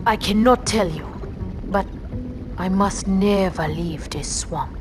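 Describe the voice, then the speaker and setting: A woman playing a mysterious, stern and secretive young swamp girl in a cartoon video game, with measured, cryptic, formal phrasing. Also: medium pitch, background noise, close to an everyday voice. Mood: guarded. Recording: background music under dialogue.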